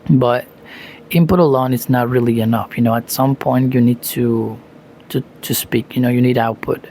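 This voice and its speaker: Argentine man with a gravelly, flat voice. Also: mid-range voice, normal speed, slight background noise, rough recording. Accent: Argentine Spanish. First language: Spanish. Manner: leans on "you know" and "um", restarts phrases. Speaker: language learning youtuber. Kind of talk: casual talking-head advice.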